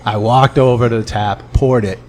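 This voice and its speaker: A man chatting casually as a podcast guest, telling bartending stories in an animated way and acting out the dialogue. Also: mid-range voice, moderate pace, a little hiss or hum. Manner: animated storyteller, acting out dialogue, swearing freely. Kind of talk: casual comic bar storytelling.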